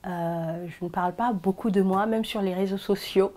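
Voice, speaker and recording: A woman, sharing her personal story in a soft, hesitant way with frequent pauses. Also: medium pitch, fairly even intonation. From Senegal. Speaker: vlogger. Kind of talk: personal confessional vlog.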